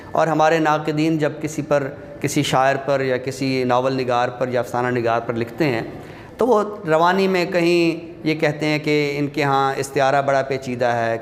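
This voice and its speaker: Man lecturing in a reverberant, noisy hall. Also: mid-range voice. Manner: explanatory, addresses listeners directly. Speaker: literature lecturer. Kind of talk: classroom lecture on literature. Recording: reverberant hall, clear background noise.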